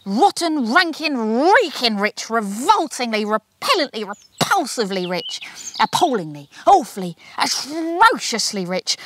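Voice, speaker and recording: A woman's voice: on the high side, no echo. A woman voicing a greedy, pompous male company boss in a bombastic storybook reading, relishing every word. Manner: bombastic, relishing strings of alliterative words.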